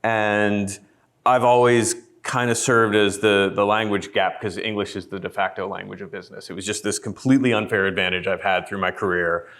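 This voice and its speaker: A man speaking thoughtfully as a panelist, measured and reflective. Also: on the low side, steady tone, fairly bright tone. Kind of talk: panel discussion.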